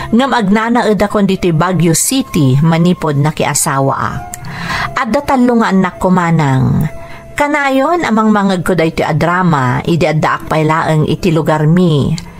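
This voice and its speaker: A woman with an Ilocano accent giving a measured, sentimental dramatic reading of a letter for a radio drama. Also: fairly low pitch, expressive, faint music behind.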